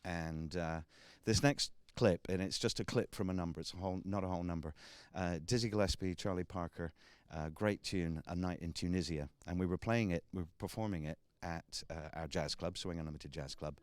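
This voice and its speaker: Deep-voiced chatty man of sixty. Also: fairly quick, hardly any pauses, clean tone, no echo. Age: 60s. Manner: rambling, chatty, with many ums and restarts. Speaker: big band director and trumpeter. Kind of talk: casual radio interview chat.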